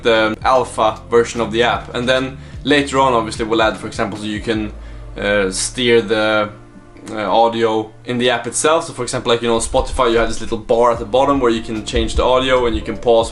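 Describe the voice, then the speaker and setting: A man talking informally in a casual video diary, presenting his software project as a developer. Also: mid-range voice, fairly even intonation, brisk, soft background music. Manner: informal, conversational, mild swearing. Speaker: startup vlogger and app developer.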